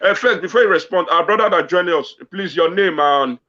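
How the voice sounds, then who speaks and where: A Nigerian man moderates a live online town hall, calling on each speaker in turn. His voice is slightly high and he cuts in often. The audio is muffled and lo-fi. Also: a little fast, bright, forceful tone. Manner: interrupts, calls on speakers, mixes in Pidgin. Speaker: debate moderator.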